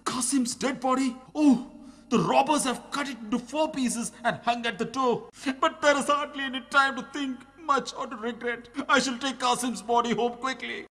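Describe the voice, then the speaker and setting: An actor playing a grave young man in an animated children's story, speaking in a deliberate, declamatory way. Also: very high voice, faint music behind, heavily acted. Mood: shocked, regretful. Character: Ali Baba, grave young man, grieving brother.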